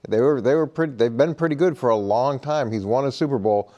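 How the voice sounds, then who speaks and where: Male coach-panelist, dark mellow mid-pitched voice, halting unscripted sports debate. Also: highs cut off. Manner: halting, repeats words as he argues.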